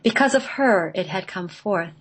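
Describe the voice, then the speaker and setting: A woman reading radio play narration in a measured, solemn manner. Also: mid-range voice, animated, slightly rough, poor audio. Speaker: guest, playwright reading own play.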